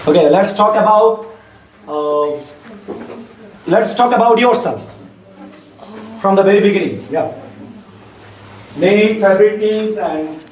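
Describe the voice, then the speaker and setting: A woman's voice: medium pitch, slow, pauses often, a little mellow, a little hiss or hum. A woman teacher, encouraging, prompting and correcting her students in a classroom. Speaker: English teacher. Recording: roomy distant classroom sound with music.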